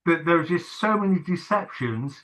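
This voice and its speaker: Male host, casual talk, bright tone, halting and filler-heavy. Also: a little high, expressive, poor audio. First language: not English. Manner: hesitant, many fillers and restarts. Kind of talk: casual video-call chat.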